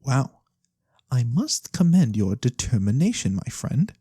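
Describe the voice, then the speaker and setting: A performer voicing a mysterious, courteous fantasy lorekeeper in a soft-spoken ASMR roleplay, breathy and theatrical with knowing pauses. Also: medium pitch, sing-song, no echo, clean studio sound. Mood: warm, courteous. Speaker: storyteller character.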